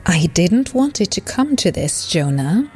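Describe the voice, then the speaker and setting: A woman playing a smug, bossy disembodied divine voice in a comedic audio drama, speaking in a measured, commanding way. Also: lowish voice, big pitch swings, a little mellow, slightly rough, faint music behind, heavily acted.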